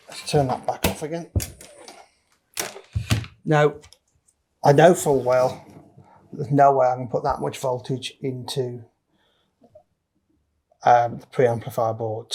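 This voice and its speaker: A man's voice: medium pitch, background noise. Matter-of-fact man talking through hands-on work. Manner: matter-of-fact, talking through each step while working. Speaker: DIY electronics presenter. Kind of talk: casual hands-on tutorial commentary. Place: indoor electronics workbench.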